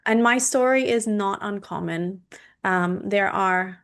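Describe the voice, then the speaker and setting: A woman in her thirties, a nutritionist and naturopath from Indonesia, talking through her own health history in a podcast interview, steady and measured with frequent ums. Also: mid-range voice, clear, resonant tone. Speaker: guest, clinical nutritionist and naturopath. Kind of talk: podcast interview, personal story.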